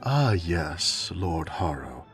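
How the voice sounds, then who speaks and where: A man's voice: deep voice, big pitch swings, extremely slow, faint music behind, heavily acted. A man playing an elegant, dryly witty fae butler in an audio drama, speaking with formal, courtly politeness. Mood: dryly polite. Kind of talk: scripted audio roleplay acting.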